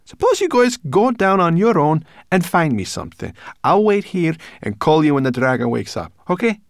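A man's voice: mid-range voice, animated, normal speed, gravelly, no echo, clean studio sound, voice pushed up. A man voicing a feisty, blunt, food-loving female brownie creature for a children's storybook. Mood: stubborn, alarmed. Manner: blunt, complaining, bossy.

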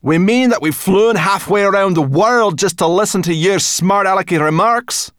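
Man doing a fiery little brownie girl, high and loud, dry sound. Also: normal speed, no echo. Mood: angry. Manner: loud, snapping. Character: fiery young female brownie, sharp-tongued.

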